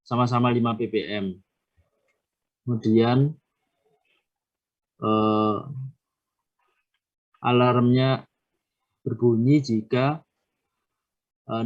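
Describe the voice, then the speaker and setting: A young man presents a worked problem to his class at an unhurried pace. He hesitates often, filling the gaps as he thinks. His voice arrives over a dull, muffled online call. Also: medium pitch. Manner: halting, many filler hesitations. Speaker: student presenting a solution.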